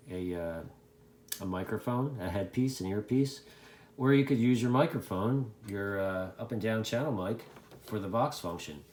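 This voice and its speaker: A man with a fairly low voice talks through a product in a casual, hands-on review. He speaks haltingly, thinking aloud as he demonstrates, and the sound is clearly echoey and roomy.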